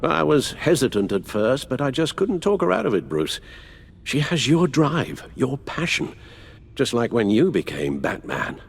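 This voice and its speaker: An actor playing an elderly British butler and mentor in a video game, caring and worried, with formal, measured, genteel phrasing. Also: male voice, mid-range voice, big pitch swings, gravelly, slightly rough, exaggerated voice. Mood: earnest, persuasive. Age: older. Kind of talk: dramatic video game voice acting. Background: background music.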